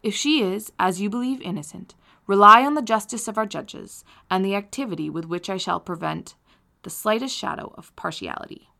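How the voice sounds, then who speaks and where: Woman reading as a calm dignified father. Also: medium pitch, vocal fry. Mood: soothing. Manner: measured, soothing.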